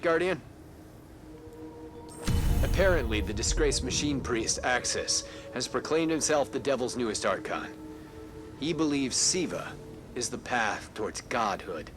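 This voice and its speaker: A man's voice: medium pitch, lightly acted. An actor playing a wry, informal male scout who gives mission briefings in a video game, cool and casual in delivery. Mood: urgent, cool. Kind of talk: cinematic video game acting.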